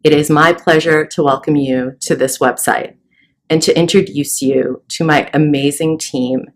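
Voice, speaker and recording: A woman host in her home office, giving a welcoming, measured, scripted introduction. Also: deep voice, fairly even intonation.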